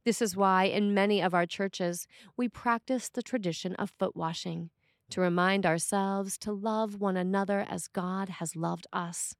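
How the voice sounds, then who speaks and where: A woman reads a prepared sermon as a priest would. Her phrasing is deliberate and measured, at an average pitch for a woman. The sound is dry, with almost no room in it. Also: fairly even intonation, no echo. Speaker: priest reading a written sermon. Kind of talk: scripted sermon reading.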